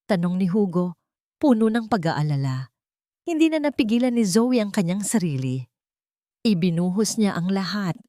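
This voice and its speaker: A woman reading a scripted romantic drama as a narrator, in a measured and earnest storytelling delivery. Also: medium pitch, sing-song, dark tone, no room sound, clean studio sound.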